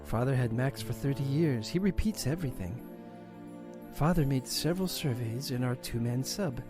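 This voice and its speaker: A man's voice: mid-range voice, animated, normal speed, close to an everyday voice. Man acting a young woman, serious, determined, grieving daughter, audio drama, music underneath. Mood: serious, subdued.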